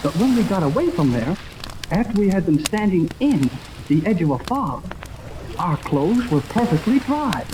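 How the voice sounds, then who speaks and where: An actor playing an earnest, excitable young American radio operator in a 1930s radio serial. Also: male voice, high voice, normal speed. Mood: eager. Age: young adult. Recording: noisy old radio recording, steady crackling hiss.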